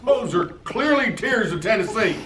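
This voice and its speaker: A man doing a dismissive judge with a high, bouncing voice who snaps out his rulings. He sounds far from the mic, in an echoey room with loud noise. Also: animated, normal speed. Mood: curt. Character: offhand male southern judge. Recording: distant voice in an echoey, noisy room.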